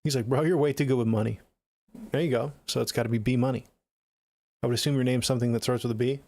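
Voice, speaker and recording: A man's voice: mid-range voice, steady tone, quick pace, vocal fry, a little mellow. A middle-aged man with an American accent chatting casually on a livestream in a laid-back, slangy way, close to the mic. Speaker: music production livestreamer. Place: small home studio room, indoors.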